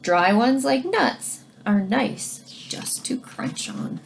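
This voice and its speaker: A woman reading a children's science book aloud like a teacher, expressively, pausing to explain things directly to young listeners. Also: a little high, very animated, creaky, a little hiss or hum. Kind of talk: read-aloud storytelling with explanatory asides to children.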